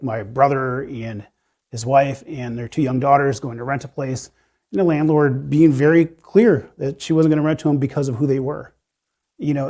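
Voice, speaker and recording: Man, fifties, mortgage lender panelist; mid-pitched, earnest, reflective storyteller. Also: dark tone. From USA. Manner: earnest and reflective, many "you know" fillers. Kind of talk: panel discussion, personal stories.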